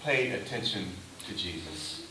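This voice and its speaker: A man's voice: low voice, expressive, unhurried, crowd noise. Man preaching in a very echoey room. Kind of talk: conversational sermon. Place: large reverberant indoor church space. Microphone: distant microphone. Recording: very echoey room, distant mic, clear noise.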